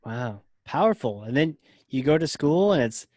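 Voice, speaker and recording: A man's voice: medium pitch, sing-song, moderate pace, darkish tone, no room sound, clean studio sound. A man hosting an interview podcast, asking questions with friendly, joking banter. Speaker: podcast host. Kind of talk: casual interview chat.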